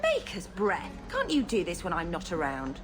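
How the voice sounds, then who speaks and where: A woman's voice: fairly low pitch, huge pitch swings, moderate pace, loud music behind the voice. A woman playing an exasperated, prim young companion in a fantasy video game. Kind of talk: video game dialogue acting.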